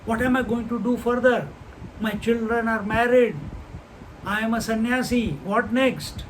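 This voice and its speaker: A man's voice: very high-pitched, fairly even intonation, a little hiss or hum, poor audio. An older man teaching scripture, speaking unhurriedly and posing rhetorical questions to his students. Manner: chants Sanskrit verses slowly. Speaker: spiritual teacher. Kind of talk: scripture class talk.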